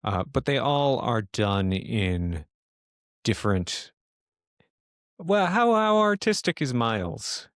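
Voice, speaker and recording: A man narrating a tabletop horror game as game master, improvising in a halting way as he thinks aloud. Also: mid-range voice, very animated, no room sound, clean studio sound.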